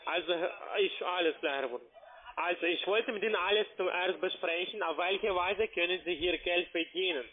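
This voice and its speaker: High male voice, foreign accent, non-native phone salesman, halting and polite, narrow tinny line. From Slovakia. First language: not German. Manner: halting, formally polite, broken grammar. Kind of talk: telephone sales pitch.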